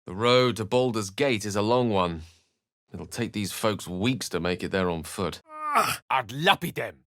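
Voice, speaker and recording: An actor playing a scholarly, eloquent wizard companion in a video game, with an articulate, theatrical manner. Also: male voice, fairly low pitch, very animated, a little slow, fairly bright tone, no room sound, clean studio sound, full character voice. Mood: earnest, genial, then urgent, incantatory. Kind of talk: video game character acting and narration.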